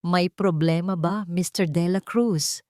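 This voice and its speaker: A woman's voice: fairly low pitch, fairly even intonation, measured pace, a little mellow, no room sound, clean studio sound, close to an everyday voice. A Filipino woman voicing a composed, defiant wronged wife in an audiobook drama. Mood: calm. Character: fearless, defiant wronged wife confronting her husband.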